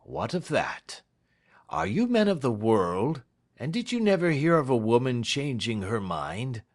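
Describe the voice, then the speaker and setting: A man voicing a moody, impatient ruined gentleman who speaks in a quiet, brooding way. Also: medium pitch, sing-song, unhurried, pitched above the performer's own voice. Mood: defiant.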